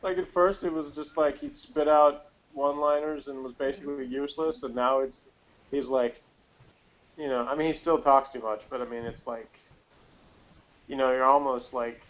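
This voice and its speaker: A man's voice: mid-range voice, fairly even intonation, normal speed. A man co-hosting a casual review podcast, talking in a rambling, hedging, wry way. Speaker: podcast co-host reviewing a TV episode. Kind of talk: casual chat. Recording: dull, lo-fi sound.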